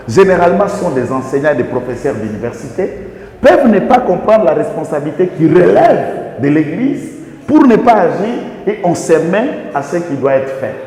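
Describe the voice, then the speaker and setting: Echoey, animated Congolese man arguing politics. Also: a little high, normal speed. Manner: rhetorical questioning, emphatic, repetitive listing. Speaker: guest political commentator. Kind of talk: impassioned political commentary in a TV interview. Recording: echoey reverberant room, faint background noise.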